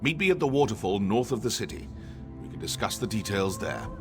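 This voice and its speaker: A man's voice: fairly low pitch, very animated, fairly quick. A man playing an arrogant, cryptic elven sorcerer scholar in a video game, theatrical, condescending and dryly sardonic. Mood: imperious, wry. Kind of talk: scripted video game dialogue. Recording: music under the voice.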